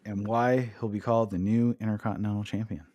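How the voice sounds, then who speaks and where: A man's voice: fairly low pitch, little pitch movement, fast, dark tone, no echo. Casual laid-back man recapping, dry sound. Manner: swearing, laughing recap with jokey put-on voices. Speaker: podcast host recapping wrestling. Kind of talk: casual chat.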